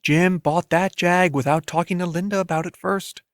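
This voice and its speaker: A man's voice: sits high, fairly even intonation, normal speed, no echo, clean studio sound, voice pushed up. Gossipy suburban mother, read by a male narrator, matter-of-fact delivery, audiobook fiction.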